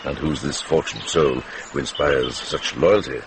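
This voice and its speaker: An actor playing a shrewd, worldly older monk in a radio drama, dry and watchful in manner. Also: male voice, rumbling low, unhurried. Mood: probing, wry. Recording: noisy vintage radio drama recording.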